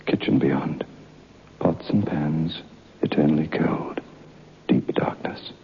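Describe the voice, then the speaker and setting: Haunted solemn guy telling a ghost tale, low creaky voice, clipped dramatic phrases, muffled old radio. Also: steady tone, slow pace, in a whisper, full character voice. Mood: ominous. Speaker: narrator and lead actor in a radio play. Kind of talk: old-time radio horror narration.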